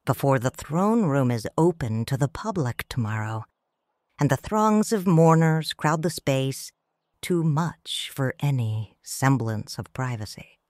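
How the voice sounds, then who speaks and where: A middle-aged woman narrating in first person as a grieving widow and mother, in soft, tender, reflective audiobook narration. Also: very deep voice, expressive, unhurried, no echo, studio quality.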